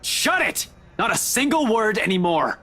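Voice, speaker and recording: A man's voice: sits high, big pitch swings, moderate pace, very noisy. A man playing a determined, guarded young investigator in a horror game, with a brusque, dry manner. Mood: furious.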